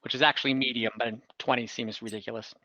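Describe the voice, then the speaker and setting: A man's voice: medium pitch, fairly even intonation, fast talker, bright, forceful tone, dry recording, rough recording. A man acting as game master, improvising narration and rulings casually while thinking aloud over an online call. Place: close, dry mic on an online game call.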